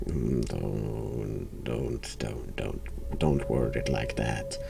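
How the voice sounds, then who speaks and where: A man streaming a horror game, giving casual reaction commentary in a halting, dry deadpan way. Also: rumbling low, vocal fry, heavy background noise.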